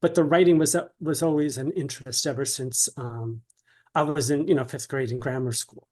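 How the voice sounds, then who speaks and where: A man speaking as an interview guest in a casual, polite, mild and somewhat hesitant, measured way. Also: medium pitch, fairly even intonation, a little mellow.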